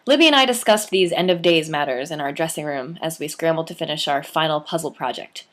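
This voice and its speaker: A young woman reads her own memoir aloud, her voice sitting somewhat low for a woman. She tells it with lively, playful emphasis. She reads like a storyteller sharing a personal tale. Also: brisk. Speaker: author.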